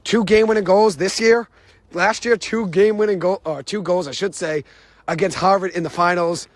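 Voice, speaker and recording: A young man hosting a sports podcast, rattling off stats in an excited, unscripted recap, his voice a little hoarse. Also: high-pitched, no echo. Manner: excited, rapid-fire stat recitation. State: hoarse, says he lost his voice. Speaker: podcast host.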